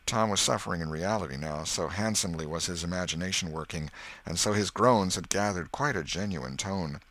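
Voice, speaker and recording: A man reading a story as an audiobook narrator, with expressive scripted delivery. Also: low voice, a little fast, no echo.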